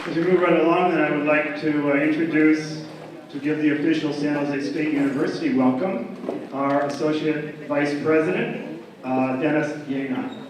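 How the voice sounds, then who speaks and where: A man's voice: on the high side, moderate pace, a crowd in the background, noisy background. Male emcee in a heavily echoing hall. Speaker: event emcee. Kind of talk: formal introductions of speakers. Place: large echoing event hall with audience. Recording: very echoey hall, distant, audience sound.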